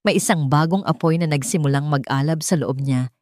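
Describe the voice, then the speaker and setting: A Filipino woman narrates a dramatic audio story in a lowish, mellow voice. The delivery is scripted storytelling, recorded close and dry with no room around it. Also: a little fast, dry recording.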